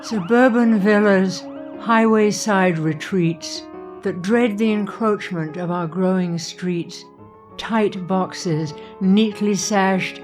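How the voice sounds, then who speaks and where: Slow, measured woman reciting poetry. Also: mid-range voice. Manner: measured, deliberate delivery. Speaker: spoken-word performer. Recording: studio recording with music behind. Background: music.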